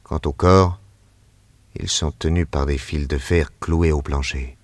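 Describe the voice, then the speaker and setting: An actor playing a calm, shrewd middle-aged detective in a radio drama, speaking in a measured, grave and deliberate way. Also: male voice, extremely low pitch. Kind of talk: 1940s radio acting.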